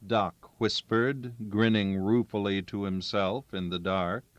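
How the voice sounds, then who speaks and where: Slow, low-voiced man reading a story. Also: steady tone, dry recording. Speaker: audiobook reader. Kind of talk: scripted story narration. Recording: dull, lo-fi, dry recording.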